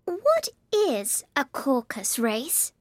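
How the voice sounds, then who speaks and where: Man acting a storybook little girl, very high, slow, mournful, polite. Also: huge pitch swings, no echo, studio quality, heavily acted. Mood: melancholy. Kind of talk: children's storybook character voice.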